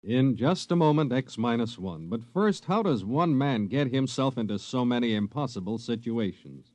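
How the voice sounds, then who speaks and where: A man's voice: medium pitch, animated, moderate pace, a little mellow, faint music behind. An American man reading a scripted promo as a 1950s radio network announcer.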